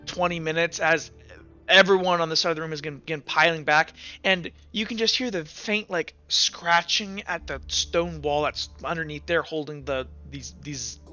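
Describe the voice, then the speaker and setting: A man runs a tabletop game as game master, with a bright, clear voice set a little high. He improvises the story haltingly, circling back over phrases as he builds each scene. The audio is slightly dull, with music playing behind him. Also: steady tone.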